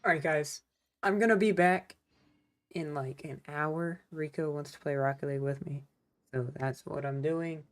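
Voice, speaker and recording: A woman's voice: deep voice, moderate pace. A woman streamer chatting casually while playing a game, laid-back and chatty. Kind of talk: casual gameplay commentary.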